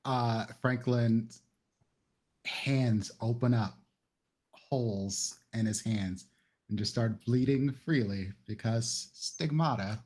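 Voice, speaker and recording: A man with a husky, breathy voice plays a tabletop roleplaying game. He describes his character's actions casually and at a slow pace. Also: mid-range voice, a little mellow.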